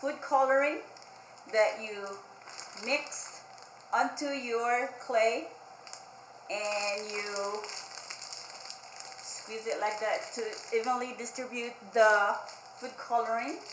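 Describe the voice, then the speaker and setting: Female children's storytime host, unhurried simple instructions with repetition; echoey room with faint noise. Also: fairly high pitch, fairly even intonation. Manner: simple explanations with repeated phrases, addressing children directly. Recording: roomy echo, faint background noise.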